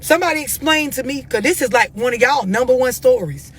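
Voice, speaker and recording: Husky, blunt American woman. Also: high-pitched, expressive, brisk. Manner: confrontational, emphatic, repeats questions insistently. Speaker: host of a live stream talking to followers. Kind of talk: blunt relationship advice talk, unscripted.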